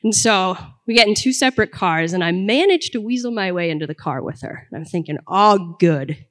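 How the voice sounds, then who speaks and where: A woman's voice: on the low side, a little fast. Woman telling a story on stage. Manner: lively, self-mocking, with bursts of laughter. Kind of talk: live storytelling performance. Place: live event hall. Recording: stage mic with long hall reverb.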